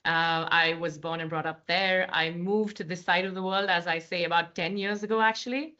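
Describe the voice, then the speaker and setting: A woman with an Indian accent, a company director from India, answering interview questions in a measured, fluent way with a few fillers. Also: on the low side, steady tone, pushed and bright, lo-fi sound. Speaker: managing director, podcast guest.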